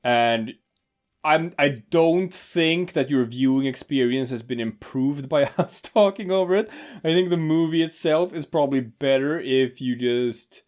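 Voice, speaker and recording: A man's voice: fairly high pitch, unhurried, a little mellow. A man co-hosting a casual movie commentary, rambling with lots of fillers and restarts. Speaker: podcast co-host.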